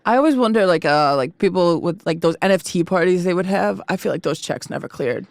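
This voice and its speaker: A woman's voice: lowish voice, brisk, darkish tone, dry recording, clean studio sound. A woman in her twenties from Boston hosting a podcast, giggling often and talking hesitantly with many fillers. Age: 20s. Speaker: podcast host. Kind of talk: podcast interview.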